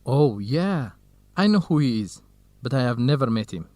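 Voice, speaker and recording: An actor playing a modest, easygoing male university researcher in an educational radio drama, polite, low-key and frank. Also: sing-song, moderate pace, darkish tone, no room sound, natural voice.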